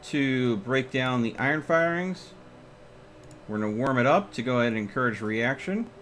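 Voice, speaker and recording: A man's voice: mid-range voice, lively intonation, moderate pace, a bit bright, a little hiss or hum. A man doing casual live gaming commentary as a streamer, talking himself through each step with exclamations. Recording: music behind the voice. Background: music.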